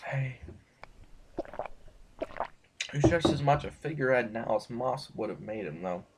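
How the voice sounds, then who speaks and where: An actor in an audio drama playing a rambling, disillusioned duke and mentor, halting and musing with theatrical outbursts. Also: male voice, medium pitch, expressive, very noisy, lightly acted. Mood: weary, dismissive. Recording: music behind.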